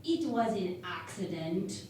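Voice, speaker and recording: An earnest, analytical female science officer, acted by a woman in a sci-fi radio parody. She speaks in a slow, deliberate, matter-of-fact way. The sound is very echoey and a bit distant. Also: on the low side, expressive. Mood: solemn. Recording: very echoey room, distant mic, faint noise.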